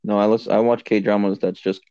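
Guy with a gravelly low voice arguing bluntly, dry muffled sound. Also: flat delivery, brisk, mellow, dry recording. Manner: blunt, dismissive, argumentative. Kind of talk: casual chat.

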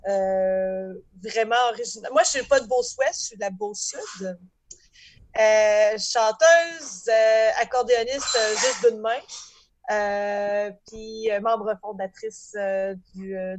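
A woman, a band's singer, introducing herself haltingly with a teasing humor. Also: mid-range voice. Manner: hesitant, full of fillers, teasing. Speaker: singer and accordionist in a band. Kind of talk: joking interview chat.

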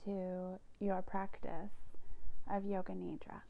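A woman yoga nidra teacher gently guiding a meditation in a soothing, unhurried manner, with phrases that trail into breathiness. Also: medium pitch, monotone, gravelly, narrow, tinny audio. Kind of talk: guided meditation narration.

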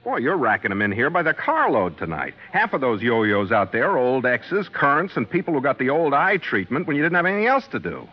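An American actor playing a jealous, sardonic boyfriend with clipped delivery in a 1950s radio drama. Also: male voice, mid-range voice, big pitch swings, fairly quick, hardly any pauses. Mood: bitter, angry. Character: jealous, sardonic boyfriend stuck tending bar. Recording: dull, lo-fi old radio recording.

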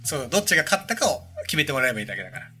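Guy on a chat podcast, teasing, talks super fast, lively pitch. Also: over music. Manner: teasing, rushes others along. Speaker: podcast co-host. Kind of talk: casual chat.

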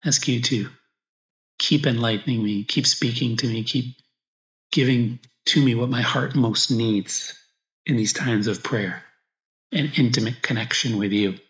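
A man, a priest guiding a meditation, speaks slowly and reflectively in short phrases with pauses, as in spoken prayer. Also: mid-range voice, fairly bright tone. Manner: slow, reflective, pausing between short phrases. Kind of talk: guided meditation, spoken prayer with gospel reading. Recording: echoey room, slightly dull sound.

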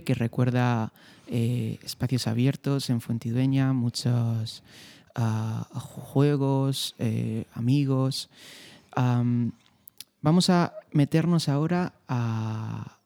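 A man from hosts a relaxed podcast interview. His voice is gravelly and dark. He asks his questions in an easygoing, unhurried way. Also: medium pitch. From Spain. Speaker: podcast host. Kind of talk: casual interview chat.

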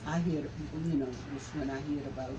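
A man in his seventies, a reverend, recalling the past in an interview with a preaching cadence that rises to forceful emphasis. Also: on the high side, fairly even intonation, a little fast, muffled recording, noisy background. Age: 70s. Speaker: reverend, civil rights veteran. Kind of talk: interview reminiscence.

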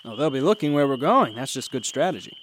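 An actor playing a confident, philosophical mentor in a radio drama, with a teasing, cryptic manner. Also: male voice, mid-range voice, sing-song, quick pace, a bit bright, slight background noise, rough recording. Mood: calm, cryptic. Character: cryptic, wry, confident male adventurer and mentor. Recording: music behind the voice.

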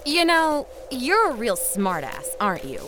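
An earnest young eco-warrior played by a woman talks in a fairly high, animated voice. She is dry and scolding, acting in a comic audio drama with music under her lines. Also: measured pace. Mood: wry.

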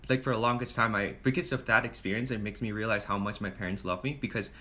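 A man's voice: lowish voice, steady tone, fast, pushed and bright. A young man from Hong Kong chatting casually on a podcast, earnest and rambling, often laughing at himself. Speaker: podcast co-host. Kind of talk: casual chat.

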